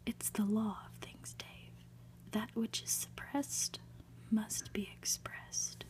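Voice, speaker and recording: Woman whispering as an ominous, slow-talking professor. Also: mid-range voice, level tone, darkish tone, lightly acted. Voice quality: breathy whisper. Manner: portentous, lecturing, drawn-out dramatic pauses. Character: male professor, ominous, pompous, fond of grand theories.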